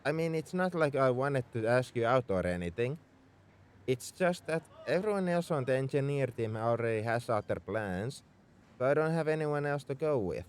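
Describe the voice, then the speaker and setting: A man's voice: mid-range voice, lively intonation, normal speed, smooth, close to an everyday voice. A man playing a young tsundere spaceship mech engineer in an audio roleplay, defensive about his feelings as he speaks straight to the listener. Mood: flustered, shy. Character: gruff-caring tsundere male mech engineer, young adult. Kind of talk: ASMR roleplay acting, addressed to the listener.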